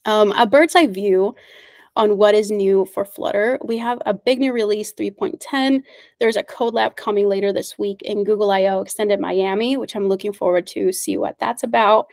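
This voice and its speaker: A woman's voice: medium pitch, steady tone, normal speed, a little mellow, dry recording, clean studio sound. A woman whose first language is Spanish, presenting at an online meetup. She is upbeat and friendly, with frequent fillers and small laughs. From United States. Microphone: video call.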